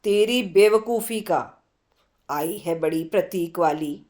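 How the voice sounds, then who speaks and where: A woman's voice: on the low side, takes it slowly, darkish tone, voice pushed down. A woman voicing an earnest, irritable young female college student in a read story, teasing and scolding in exclamations. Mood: mocking.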